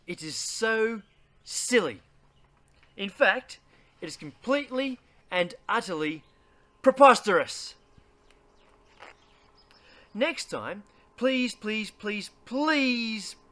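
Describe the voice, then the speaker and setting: A man plays a reluctant storybook grown-up, exasperated and melodramatic. The voice is high and bright, whining and swooping in slow, exaggerated phrases. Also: heavily acted. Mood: pleading, exasperated. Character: exasperated, reluctant grown-up forced to read silly book. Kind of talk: children's storybook read-aloud.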